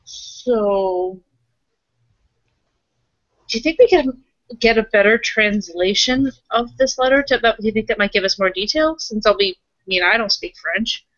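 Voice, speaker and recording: A woman's voice: fairly high pitch, unhurried, fairly bright tone, no echo, muffled recording. A woman playing a tabletop roleplaying game, talking casually as she works out plans with the group.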